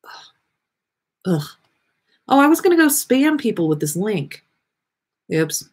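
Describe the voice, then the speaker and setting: A woman's voice: medium pitch, sing-song, unhurried, husky. A woman hosting a casual livestream, chatting with viewers in a playful, rambling way as she thinks aloud. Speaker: livestream podcast host. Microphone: webcam livestream setup.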